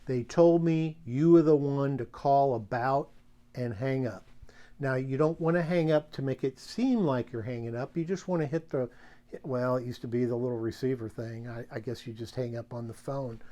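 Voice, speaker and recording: A man's voice: medium pitch, normal speed, warm tone. A middle-aged American man giving casual sales tips in a rambling, folksy way, leaning emphatically on his key points. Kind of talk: casual instructional monologue.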